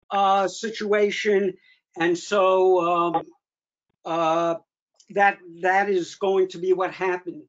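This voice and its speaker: A man with a notably high voice hosts a remote meeting and delivers an informal briefing. He speaks haltingly, often pausing in the middle of sentences. The sound is slightly muffled, low-fidelity conference audio. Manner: halting, with frequent pauses mid-sentence. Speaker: meeting host, association official giving updates. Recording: dull lo-fi online meeting audio.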